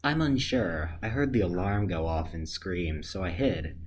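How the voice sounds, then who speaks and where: A man acting a blunt, deadpan disaster survivor in a radio play. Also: sits low, a little slow. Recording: faint background noise.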